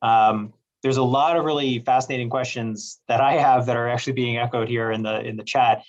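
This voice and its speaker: A man's voice: medium pitch, fairly even intonation, brisk, highs cut off. A man hosting a medical webinar, asking questions in a loose, chatty way with plenty of fillers over a video call. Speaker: webinar host, doctor. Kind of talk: casual moderating of a medical webinar.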